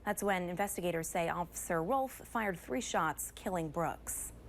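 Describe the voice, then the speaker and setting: A woman's voice: on the low side, animated, moderate pace, creaky, slight background noise. A woman with an American accent reading scripted television news as an anchor. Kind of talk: scripted news broadcast narration.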